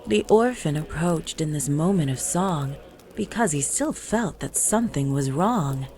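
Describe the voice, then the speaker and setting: Low-voiced woman reciting rhymed storybook verse. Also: animated, measured pace, darkish tone. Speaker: narrator of a Christmas poem. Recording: music bed under the voice. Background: music.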